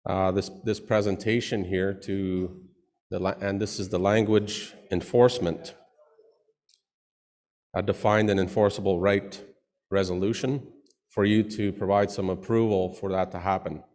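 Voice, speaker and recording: A man who is a chief, giving a formal presentation to an assembly in a loud voice with many pauses. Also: low voice, little pitch movement. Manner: loud, pausing often. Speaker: chief and languages portfolio holder. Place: large assembly hall. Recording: long hall reverb.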